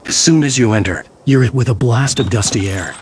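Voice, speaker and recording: A man narrating a gothic mystery game as a brooding storyteller, in a measured, atmospheric scripted style. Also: medium pitch, slight background noise, close to an everyday voice.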